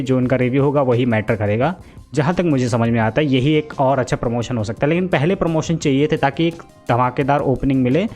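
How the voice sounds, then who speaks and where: Musing man vlogging, higher voice. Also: darkish tone. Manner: musing, mixes English into Hindi, thinks aloud with fillers. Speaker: film vlogger. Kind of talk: casual Hinglish vlog commentary.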